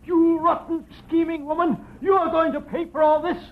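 An actor playing a vengeful, melodramatic killer in a high, overwrought 1940s radio style. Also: male voice, little pitch movement, moderate pace. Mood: frantic rage. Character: vengeful, melodramatic, high-strung killer named Felix Lohman. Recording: dull, noisy old radio recording.

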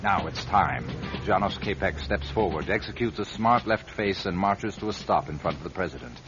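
A man with a very low voice narrates a radio drama in a grave, deliberate way. The recording is dull and lo-fi. Music plays clearly behind him. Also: animated. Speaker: narrator and writer-director.

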